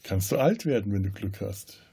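A man co-hosting a podcast, casually discussing film in a halting, searching way. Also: lowish voice, lively intonation, warm tone, slightly rough. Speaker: podcast co-host.

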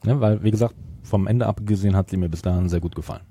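A man co-hosts a podcast in casual review talk. His lowish voice is mellow and husky, captured dry and close to the mic. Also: racing, no echo. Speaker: podcast co-host.

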